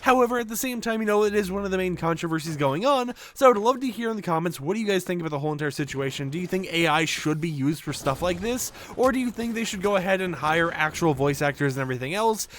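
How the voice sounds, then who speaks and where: A man's voice: high-pitched, lively intonation, fast talker, hardly any pauses, a bit bright, slight background noise. A man giving casual gaming commentary in a conversational, rambling way, often checking in with little tag questions. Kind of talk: casual video commentary over gameplay.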